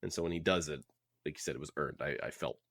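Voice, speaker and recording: Male podcast co-host, low register, dry recording. Casual, opinionated, thinks aloud and repeats himself. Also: fast talker, a bit bright, no echo. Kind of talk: casual book-club discussion.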